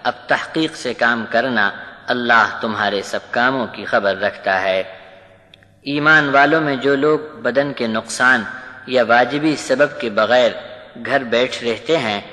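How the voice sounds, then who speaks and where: A man narrates a religious translation in a measured, solemn way. His voice sits at a middle pitch with a bright, clear-cut edge. A long hall reverb follows his words. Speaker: reader of a Quran translation.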